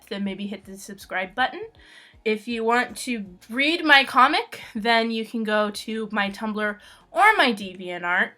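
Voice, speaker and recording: A woman's voice: medium pitch, sharp tone. A woman chatting casually as a channel host, giggly, rambling and self-deprecating. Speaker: webcomic artist and channel host. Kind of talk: casual chat with sister, answering questions. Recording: music under the voice. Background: background music.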